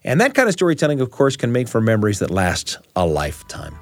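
Casting: male radio host, mid-pitched, lively and emphatic storytelling delivery, light music behind. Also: fast, dry recording, clean studio sound.

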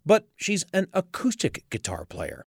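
A man hosting and narrating a radio storytelling show, with dramatic, deliberate phrasing. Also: on the high side, wildly swooping pitch, creaky, slightly rough, no echo, studio quality. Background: guitar music.

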